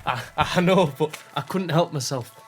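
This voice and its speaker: An actor playing a young working-class Victorian Londoner, earnest, cheeky and informal, in a radio drama. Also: male voice, fairly high pitch, moderate pace, faint music behind, close to an everyday voice. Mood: eager, cheerful. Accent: English working-class London. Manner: informal, encouraging, eager. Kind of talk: period radio drama acting.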